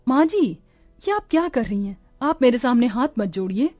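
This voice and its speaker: A woman's voice: a little high, big pitch swings, moderate pace, darkish tone, soft background music. A woman playing a dutiful, modest mother and elder daughter-in-law in a cartoon family drama. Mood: moved, protesting. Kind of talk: Hindi animated moral-story acting.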